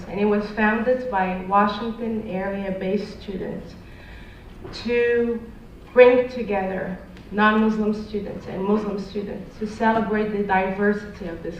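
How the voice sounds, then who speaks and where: A woman's voice: medium pitch, slight background noise. A woman introducing herself earnestly as a panelist, her English phrasing slightly nonstandard. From Morocco. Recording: echoey hall, distant muffled mic.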